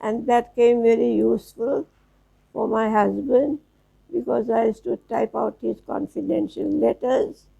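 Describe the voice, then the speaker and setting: A woman in her nineties from South Africa, reminiscing slowly as an interview guest, with halting restarts. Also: fairly high pitch, fairly even intonation, warm tone, highs cut off. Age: 90s. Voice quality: gravelly, husky. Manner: slow, halting storytelling with restarts.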